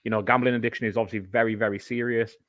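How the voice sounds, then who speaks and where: A man's voice: medium pitch, flat delivery, racing, a bit bright, no echo. A man, a podcast guest, explaining a topic in casual chat, talking at length and giving examples.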